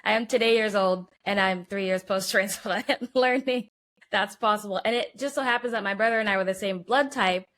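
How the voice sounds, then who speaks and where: Female podcast co-host, medium pitch, casual and chatty questioner. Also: normal speed, a bit bright.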